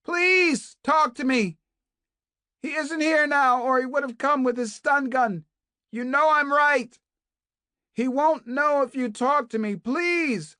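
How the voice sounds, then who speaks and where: A narrator voicing a desperate captive woman doctor who shouts frantically for help. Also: high voice, slow, no echo, clean studio sound, voice pushed up, heavily acted. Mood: pleading.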